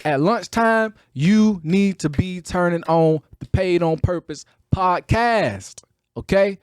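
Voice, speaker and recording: A man's voice: high-pitched, takes it slowly, clean tone, dry recording, studio quality. A man with a Chicago accent hosting a motivational podcast in a preacher-like, emphatic and colloquial way, hammering key phrases through repetition. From Chicago, United States. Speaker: podcast host, motivational speaker. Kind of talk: motivational talk with preacher-like delivery.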